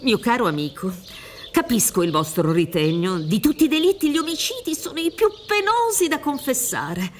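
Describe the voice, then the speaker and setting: A confiding lawyer, slightly noisy recording. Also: female voice, fairly high pitch, very animated, moderate pace. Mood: coaxing. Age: middle-aged. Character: confiding, solicitous defence lawyer coaxing a client. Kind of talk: radio play acting read from script. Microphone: studio mic on boom at desk.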